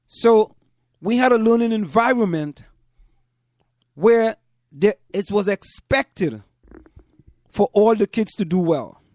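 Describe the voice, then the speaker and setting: High-pitched man, deliberate talker. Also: expressive, a little mellow, no echo, studio quality. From Trinidad and Tobago. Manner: deliberate, repeats phrases for emphasis. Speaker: host of a community parents' talk show. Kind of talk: spoken commentary to listeners.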